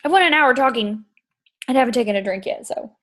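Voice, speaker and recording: High-voiced woman, emphatic and enthusiastic. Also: expressive, a little fast, fairly bright tone. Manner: enthusiastic, emphatic, repeats points. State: throat tickle, occasional coughs. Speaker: podcast host. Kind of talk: casual chat reading from a book.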